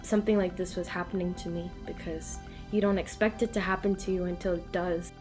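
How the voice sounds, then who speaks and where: A young woman from the Philippines, a native English speaker with an English-speaking accent in French, giving a halting, hesitant personal testimony as an interview guest. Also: fairly low pitch, fairly even intonation, music in the background. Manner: hesitant, halting, full of fillers and restarts.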